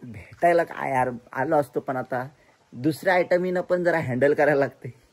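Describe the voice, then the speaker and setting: A man's voice: fairly high pitch, normal speed, no echo. A young man from Maharashtra, chatting casually as a vlogger in a playful, teasing way, tossing in slangy English catchphrases. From Maharashtra, India. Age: young adult. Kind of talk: casual vlog chat.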